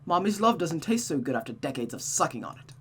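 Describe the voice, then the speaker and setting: A woman's voice: sits low, very animated, fast talker, a bit bright, slight background noise. A woman voicing a proud, tormented cursed man in a visual novel, bitter and sneering in a dramatic delivery. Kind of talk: visual novel character read-aloud.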